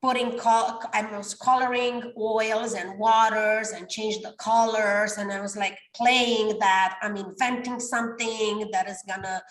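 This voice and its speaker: A woman's voice: medium pitch, fairly even intonation, measured pace, hardly any pauses, reverberant, poor audio. A woman in her forties from Romania, a coach talking animatedly in a podcast interview and sometimes searching for words. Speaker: guest, coach.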